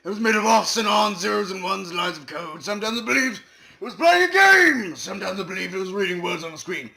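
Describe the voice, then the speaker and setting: A man's voice: high-pitched, lively intonation, fast talker, gravelly, bright, forceful tone. A man giving a comedic dramatic reading, reciting in an earnest, solemn way. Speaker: reader.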